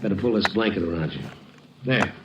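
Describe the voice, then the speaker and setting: An American actor playing a wry, hard-boiled big-city newspaper reporter in an old radio detective drama, narrating and acting out scenes. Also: male voice, low voice, animated, a little fast, recorded from a distance, background noise. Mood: coaxing. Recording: muffled, roomy old radio recording, music behind.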